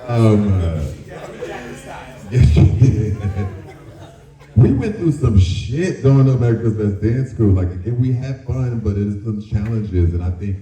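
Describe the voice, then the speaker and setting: Very deep, dark-voiced man. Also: animated, a little slow, distant mic. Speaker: dancer on a discussion panel. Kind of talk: candid personal storytelling at a panel. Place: town hall event room. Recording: muffled, echoey room, off mic.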